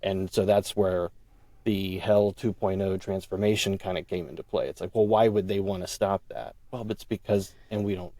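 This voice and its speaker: A man being interviewed as a podcast guest, telling his own story casually and haltingly, thinking aloud and quoting his own thoughts. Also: low voice, steady tone, mellow, no echo. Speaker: audio drama creator and writer.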